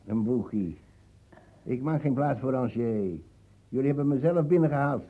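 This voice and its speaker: An actor playing a proud, defensive old colonial ex-soldier uncle in a radio play, talking in hesitant, scornful bursts. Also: male voice, mid-range voice, moderate pace, narrow, tinny audio, lightly acted. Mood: scornful, threatening. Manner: hesitant fillers, tag words, scornful laughing.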